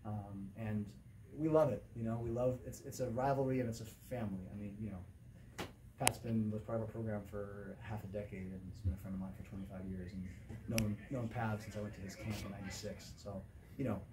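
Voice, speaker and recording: Fast-talking middle-aged man, distant and noisy. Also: fairly low pitch, steady tone. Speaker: volleyball coach. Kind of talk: post-game press conference answers. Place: indoor press conference room. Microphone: distant camera microphone. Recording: distant camera pickup with clear room noise.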